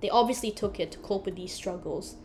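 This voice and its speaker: A woman's voice: medium pitch, a little fast. A woman from Singapore hosting a casual podcast discussion, explaining things at length in an informal way. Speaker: podcast host.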